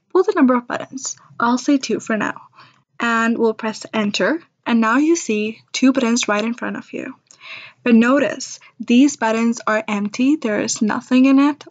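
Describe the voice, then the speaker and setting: A woman narrating an informal screen-recorded software demo in a chatty, reassuring, step-by-step way. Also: fairly high pitch, no room sound, dull audio. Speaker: software demonstrator.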